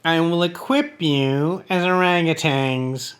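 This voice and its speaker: A woman voicing a crippled male court jester in a gothic tale, outwardly tranquil and quietly cunning, with measured delivery. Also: low-pitched, voice pushed down. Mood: sly calm. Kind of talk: dramatic gothic story reading.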